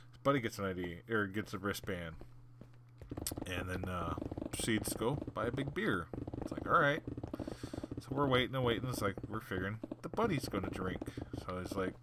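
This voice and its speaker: A man's voice: fairly low pitch, expressive, moderate pace, background noise. Rambling young man telling work stories. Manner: rambling, loose, many fillers and restarts. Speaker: gaming channel host. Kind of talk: casual storytelling over gameplay. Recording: clear background clicking.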